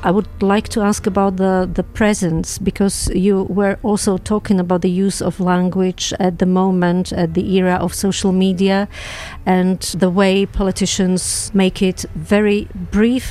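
A woman moderating a panel discussion, asking deliberate, measured questions. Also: medium pitch, level tone, dark tone.

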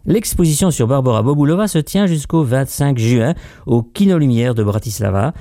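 A man reading a brisk, informative news announcement from a script. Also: medium pitch, expressive, mellow, dry recording, studio quality.

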